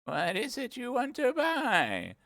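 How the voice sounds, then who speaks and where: A man's voice: very high-pitched, huge pitch swings, slow, creaky, sharp tone, dry recording, voice pushed up, full character voice. A man doing a prim old sheep shopkeeper.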